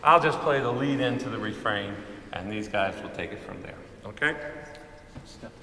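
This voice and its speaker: A middle-aged man, a high school chorus director, chatting casually to a concert audience from the stage with plenty of ums and asides. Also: lively intonation, moderate pace, bright, forceful tone, slight background noise. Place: large school auditorium stage. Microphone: mic on a stand, speaking from among the choir. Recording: roomy hall reverb with faint audience ambience.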